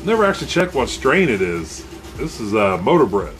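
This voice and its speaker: A man's voice: medium pitch, sing-song, brisk, fairly bright tone, faint music behind. A man hosting a podcast in laid-back, playful casual chat. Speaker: podcast host.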